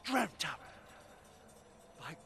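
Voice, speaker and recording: A man's voice: bright, forceful tone, a little hiss or hum. A man playing a proud, cruel warlord in a video game cutscene, taunting in a theatrical, grandiose way. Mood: grandiose menace. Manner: taunting, slow grandiose delivery.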